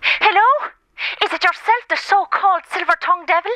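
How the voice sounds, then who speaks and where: A woman's voice: extremely high pitch, fairly quick, pushed and bright, no room sound, poor audio. A woman playing an indignant, easily flustered Cork mother phoning a radio show in a comic audio drama, with a Cork Irish accent. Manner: scolding, flustered.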